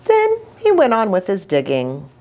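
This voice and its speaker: A woman reads a picture book aloud for young children in a highly expressive way. Her intonation swings very widely, and she takes her time over each phrase, speaking as if directly to small listeners. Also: unhurried. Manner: animated, with short asides to young listeners. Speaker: children's storybook reader, pastor.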